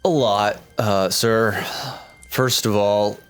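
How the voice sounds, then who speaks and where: A man player at a tabletop game, speaking in character in a halting way with ums. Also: lowish voice, steady tone, clear, resonant tone, faint music behind. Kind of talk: casual in-character play.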